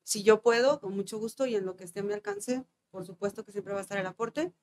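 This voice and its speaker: Mexican woman from veracruz, soft-spoken guest, heartfelt, laughs easy, normal pitch. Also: lively intonation, quick pace, a little hiss or hum. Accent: Mexican Spanish. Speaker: guest, mother. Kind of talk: casual interview chat.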